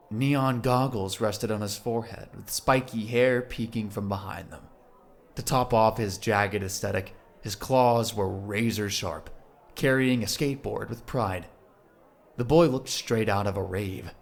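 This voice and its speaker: A man's voice: lowish voice, creaky. A man narrating a scripted audio drama in a dramatic storytelling style.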